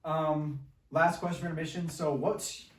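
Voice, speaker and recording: A man's voice: a little high, steady tone, moderate pace. A man, chatting casually and slangily as a film podcast co-host, recorded in an echoey room at some distance from the microphone. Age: 20s. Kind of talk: casual chat. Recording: roomy echo, distant from microphone.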